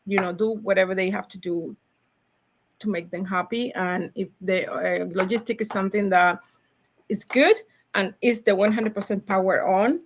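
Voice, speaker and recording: A woman with a Cuban Spanish accent, a native Spanish speaker, talking about her logistics work in a podcast interview with lively, upbeat energy and frequent laughs. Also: mid-range voice, slight background noise. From Cuba. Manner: energetic, upbeat, laughs often, repeats words. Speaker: logistics salesperson, guest.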